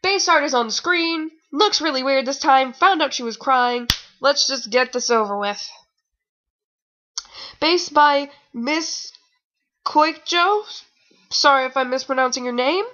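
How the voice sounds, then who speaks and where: A young woman with a high, bright voice on a slightly dull home recording. She reads fan fiction casually and comments in a snarky, rambling, informal way. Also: unhurried. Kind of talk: casual read-aloud with sarcastic commentary.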